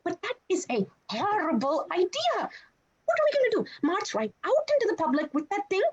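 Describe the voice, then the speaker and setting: A woman doing a very squeaky, exasperated little monster sidekick. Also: wildly swooping pitch, normal speed, dull audio, heavily acted. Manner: exasperated, sarcastic, wordy complaining. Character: panicky, sarcastic small monster sidekick, male. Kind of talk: scripted podcast character reading.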